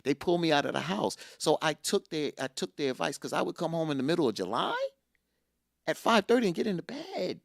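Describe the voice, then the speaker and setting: A man's voice: fairly high pitch, sing-song, a little fast, creaky, dry recording, studio quality. A middle-aged man co-hosting a casual chat, telling a personal story with energy and repeating lines for emphasis.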